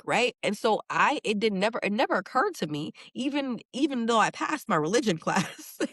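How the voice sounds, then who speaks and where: A woman's voice: medium pitch, fairly bright tone, no echo, clean studio sound. A middle-aged woman, a poet speaking in an interview, thoughtful and earnest, often restarting and repeating words as she thinks. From New York. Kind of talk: reflective interview conversation.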